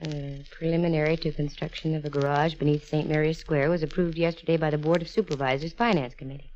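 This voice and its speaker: An actress plays a confident American female private eye from a 1940s radio drama. Her voice is low, her manner teasing and dry. The old recording is dull and hissy. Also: fairly even intonation, fast talker, a little mellow, close to an everyday voice. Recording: muffled vintage radio recording, hiss and clicks.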